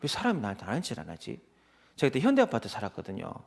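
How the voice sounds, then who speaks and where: A man's voice: mid-range voice, rapid-fire, creaky, slightly rough. A man, preaching a conversational sermon full of personal anecdotes and acting out quoted exchanges. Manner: animated storytelling, acts out quoted exchanges. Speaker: associate pastor preaching.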